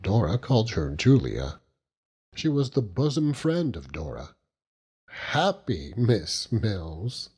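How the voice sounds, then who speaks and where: A man reads literary fiction as a first-person narrator in a low, slightly husky voice. His delivery is very deliberate and theatrical, with dry wit and a lively rise and fall. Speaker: audiobook narrator.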